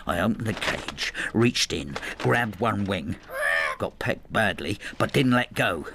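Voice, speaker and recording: An actor playing a vengeful, ageing husband in a radio drama, brooding and spiteful, with a gravelly voice. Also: male voice, on the low side, expressive, slow, background noise. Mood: vicious, spiteful. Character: bitter, vengeful ageing husband devoted to his cat. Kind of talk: first-person radio drama narration and dialogue.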